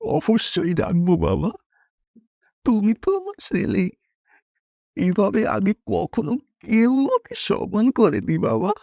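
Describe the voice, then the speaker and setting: A man acts out an elderly, kindly village tea server in an animated horror tale. His speech is rustic and deferential, with big swings in pitch and a worried fatherly care. The sound is dry and intimate. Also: slow pace, creaky, no echo, full character voice. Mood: touched, tearful. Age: older. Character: kindly old village tea-serving uncle, humble, worried. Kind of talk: animated horror story dialogue.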